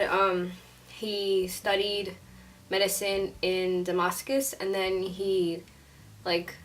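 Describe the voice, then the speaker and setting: A woman's voice: mid-range voice, a bit bright. Chatty young woman, slightly distant mic. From Syria. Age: 20s. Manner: chatty, says like a lot, laughs often. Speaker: YouTuber. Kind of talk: casual vlog chat.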